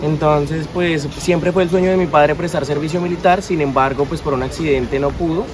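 A man's voice: fairly high pitch, fairly even intonation, normal speed, crowd noise. A young male soldier talks about his service outdoors at a base, speaking hesitantly with many fillers. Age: young adult. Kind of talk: interview testimony.

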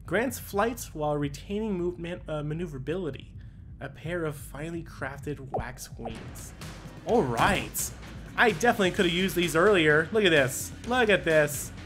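A man giving let's play commentary, thinking aloud, reading item text and breaking into quick excited exclamations. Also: a little high. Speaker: gaming channel host. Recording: game music playing underneath. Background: game music.

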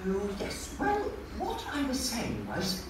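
A woman playing a fussy, anxious, prim towel-animal puppet in a live show, speaking in a melodramatic, drawn-out way. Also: medium pitch, wildly swooping pitch, background noise. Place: large indoor show room, filmed from audience. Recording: echoey hall from far off, music behind.

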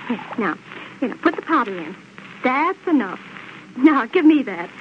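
An actress playing a chummy, bubbly housewife in a 1930s radio advertisement. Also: female voice, sits high, sing-song, normal speed. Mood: chirpy enthusiasm. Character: chirpy, chummy housewife in a sponsor playlet. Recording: dull, noisy old radio recording.

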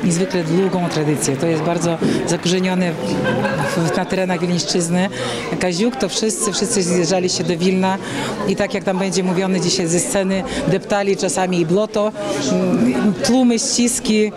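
A woman in her forties with a Vilnius-region Polish accent, giving a halting interview in a busy foyer. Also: lowish voice, fairly even intonation. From Vilnius region, Lithuania. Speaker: folk ensemble representative being interviewed. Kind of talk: on-camera interview. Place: busy public foyer, indoors. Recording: loud crowd chatter behind.

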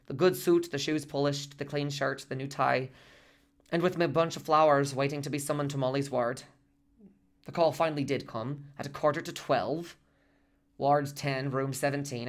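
A man's voice: mid-range voice, close to an everyday voice. An actor in a radio play playing an earnest husband who tells his story in a conversational, rambling way. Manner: conversational, rambling, detailed asides. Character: earnest, chatty working-class husband recalling a hospital visit.

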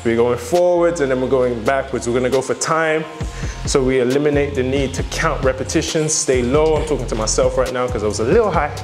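A man's voice: medium pitch, a little fast. A young man hosting a workout vlog in a home gym, joking and coaching through the exercises on a lapel mic. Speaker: fitness vlog host. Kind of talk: casual workout vlog chat. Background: music.

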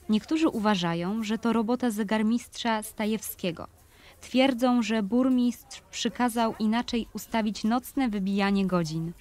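A woman's voice: mid-range voice, darkish tone. A woman reading scripted literary narration for an audio play, in the manner of a reminiscing storyteller.

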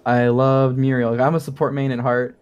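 A man's voice: mid-range voice, flat delivery, normal speed, darkish tone, clean tone, muffled recording. A young adult man talking casually as a podcast guest, informal, a little hesitant and wry. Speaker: guest, game sound designer. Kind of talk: casual interview chat.

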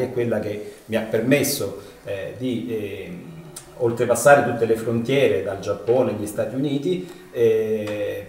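A middle-aged man, a loudspeaker company owner, answering interview questions with many hesitations in a showroom. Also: lowish voice, distant mic. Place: living room showroom with speakers. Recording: roomy, off-mic sound.

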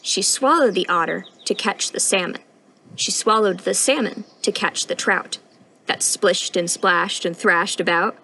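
A young woman reading a picture book aloud at storytime in an expressive, sing-song rhyming delivery, recorded outdoors. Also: medium pitch, a little slow, a little hiss or hum. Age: young adult. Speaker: storytime reader, intern. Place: outdoors on a wooden porch.

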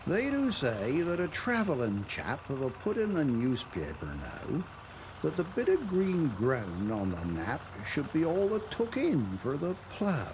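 A Dorset man recites rustic dialect verse in a fairly high voice, with a very animated rise and fall. He takes his time over each phrase and leans on key words like a storyteller. There is a light but noticeable noise behind him. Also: a little slow. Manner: expressive, emphatic storytelling. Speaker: poetry reader. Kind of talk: dramatic dialect poetry recitation. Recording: noticeable background noise, 1990s television soundtrack.

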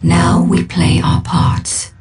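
Slow, solemn alien seer. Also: female voice, on the low side, a little mellow, distant mic. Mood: grave, wary. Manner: slow, measured, formal. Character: solemn alien seer, an elder war leader. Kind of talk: dramatic game cutscene acting.

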